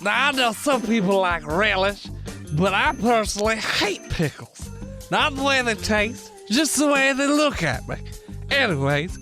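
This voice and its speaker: A woman's voice: fairly high pitch, sing-song, unhurried, music in the background. A woman playing a chatty, quirky host of a parody cooking show, rambling as she presents.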